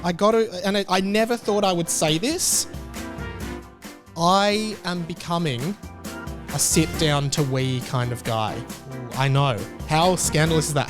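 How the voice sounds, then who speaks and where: A young Australian man hosting a solo podcast, telling family stories with lively acted-out dialogue. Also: on the high side. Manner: animated storytelling, acts out family dialogue. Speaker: podcast host.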